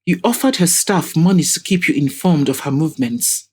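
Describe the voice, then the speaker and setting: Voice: mid-range voice, lively intonation, moderate pace, breathy edge. Audio drama lead: determined young woman, voiced by a female narrator, formal, pointed, insistent. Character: determined, probing young woman confronting a suspect.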